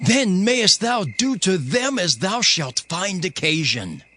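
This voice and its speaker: A man acts out a scheming, angry ruler in a biblical audio drama. His voice is fairly high and very animated, urgent and emphatic. Music is clearly heard behind it. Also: takes it slowly, full character voice. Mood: urgent, conspiratorial. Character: angry scheming city ruler urging an ambush. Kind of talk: dramatized Bible reading.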